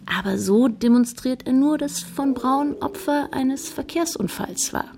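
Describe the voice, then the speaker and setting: A woman's voice: high voice, measured pace, noisy background. An actress playing an observant female historian, narrating in a precise, dryly descriptive manner for a radio drama.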